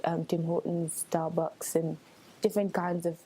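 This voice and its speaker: Young woman with a mellow, soft-edged voice. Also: lowish voice, flat delivery, normal speed, husky, poor audio. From Switzerland. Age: young adult. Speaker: student union election candidate. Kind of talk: informal spoken interview answers.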